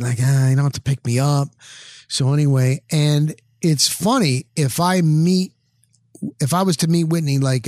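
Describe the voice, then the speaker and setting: A middle-aged American man speaks in a slightly raised pitch, recorded dry with no room sound. He tells stories casually as a podcast host would, in short punchy phrases, slipping into quoted back-and-forth as he goes. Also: dry recording. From New Jersey, United States. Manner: animated storyteller, acts out dialogue in short punchy phrases. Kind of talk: casual anecdotal monologue.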